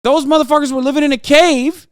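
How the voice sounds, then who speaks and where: Streamer man, 30s, from Turkey, lectures his chat in a swearing rant; higher-pitched, resonant, dry. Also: fairly even intonation, quick pace, no echo. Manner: heated, profane, lecturing rant with emphatic repetition. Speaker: streamer reacting to videos. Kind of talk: livestream reaction commentary.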